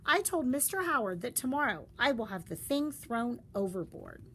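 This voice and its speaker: Female storybook reader, slightly high voice, very varied intonation, dramatic and deliberate delivery. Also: very animated, a bit bright. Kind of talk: expressive read-aloud of a story. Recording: faint background noise.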